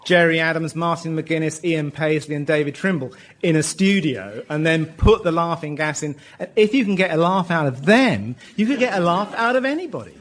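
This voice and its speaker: Fairly high-voiced man pitching casually. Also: animated, moderate pace, hardly any pauses, a little hiss or hum. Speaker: contestant pitching an idea. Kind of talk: casual explanation on a comedy show.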